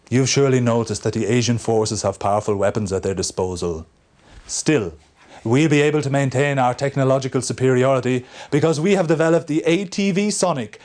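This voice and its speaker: An actor playing an eager, courteous military research professor in a video game briefing, explaining things politely. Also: male voice, mid-range voice, darkish tone, close to an everyday voice. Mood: assured, promotional. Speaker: research scientist character in a game cutscene.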